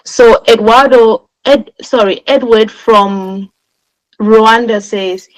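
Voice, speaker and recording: A woman moderating an online debate in an informal manner, often correcting herself with quick apologies. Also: on the high side, low-quality recording.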